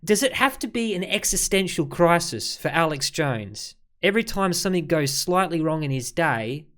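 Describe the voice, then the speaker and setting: A man's voice: a little high, a bit bright. A man ad-libbing mocking reaction commentary as host, sarcastic and exasperated. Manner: sarcastic, exasperated, addressing the person he critiques.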